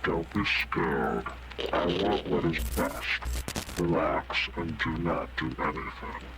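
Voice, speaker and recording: Brief: man doing a slow, very deep, cold, menacing teacher monster, in an animated horror clip with a noisy crowd-like background. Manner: slow, cold, coaxing threats. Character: menacing inhuman male teacher, calm and threatening.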